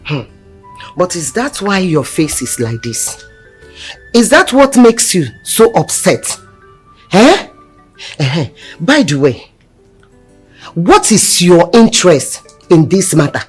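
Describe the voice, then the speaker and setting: A woman's voice: on the low side, slow pace, long pauses, husky, faint music behind, exaggerated voice. A woman with a Nigerian accent playing a middle-aged village mother who questions and chides her daughters in a film drama. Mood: suspicious. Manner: questioning, expressive interjections. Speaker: actor.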